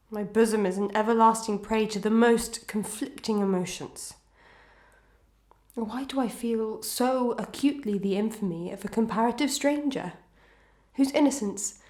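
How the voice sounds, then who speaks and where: A woman's voice: mid-range voice, darkish tone, natural voice. A woman playing a sorrowful, dignified wife torn between love and duty in a Victorian melodrama, declaiming formally with pathos. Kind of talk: Victorian melodrama audio acting. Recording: echoey, reverberant room.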